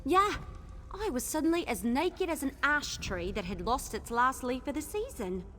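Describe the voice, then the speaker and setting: A woman's voice: sits high, animated, normal speed, a bit bright. A woman playing a spirited, chatty adventurer ally in a fantasy video game, speaking expressively. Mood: wry, determined. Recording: long reverb, music underneath.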